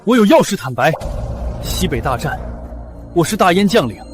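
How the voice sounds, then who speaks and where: Remorseful, earnest disgraced general. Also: male voice, high voice, very animated, moderate pace. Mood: remorseful, confessing. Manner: earnest, solemn, pleading. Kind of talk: costume short-drama acting. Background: dramatic background music.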